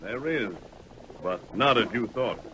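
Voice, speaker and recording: A man's voice: mid-range voice, expressive, bright, forceful tone. An actor playing a commanding, heroic masked man of the Old West with an American accent in a vintage radio drama. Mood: benevolent, firm. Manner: authoritative, deliberate, heroic. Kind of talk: 1930s-40s radio western acting. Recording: noisy vintage radio recording.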